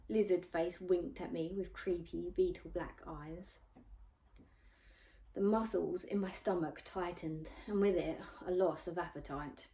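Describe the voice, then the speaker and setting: A woman's voice: fairly low pitch, dark tone. A woman narrating her own novel in the first person, reading expressively like an audiobook.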